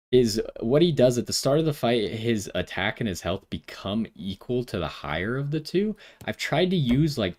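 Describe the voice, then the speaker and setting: A man's voice: mid-range voice, a little mellow. A man giving casual gameplay commentary as the host of a gaming video, thinking aloud as he decides.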